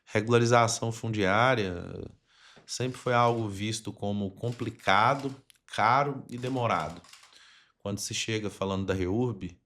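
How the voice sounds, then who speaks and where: A man's voice: lowish voice, a little slow, a bit bright, a little hiss or hum. A man with a Brazilian accent hosting a conversational podcast interview, explaining things at length in a wordy way. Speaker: podcast host.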